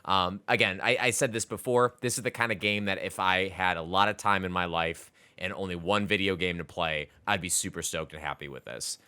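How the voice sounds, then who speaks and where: A man's voice: deep voice, fairly even intonation, a little fast, few pauses, bright, forceful tone, smooth. A man co-hosting a podcast, talking about video games in a loose, rambling, casual way. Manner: loose, rambling, repeats words as he goes. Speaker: podcast co-host. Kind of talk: casual video game chat.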